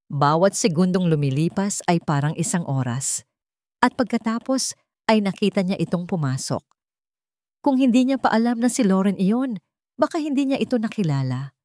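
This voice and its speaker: A woman's voice: sits low, darkish tone, dry recording, studio quality. A woman narrating a scripted dramatic audiobook story.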